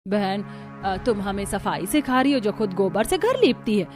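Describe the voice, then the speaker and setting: A woman's voice: mid-range voice, very animated, moderate pace, a little mellow, faint music behind. A woman voicing several female characters in a Hindi cartoon, dramatic and scolding.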